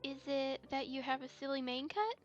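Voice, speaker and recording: Girly voice by a woman tiny curious cartoon filly, really high, childlike, muffled lo-fi audio. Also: expressive, normal speed, soft background music. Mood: curious, eager. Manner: childlike, inquisitive. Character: Nix, eager curious little filly, innocently blunt. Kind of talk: amateur audio drama acting.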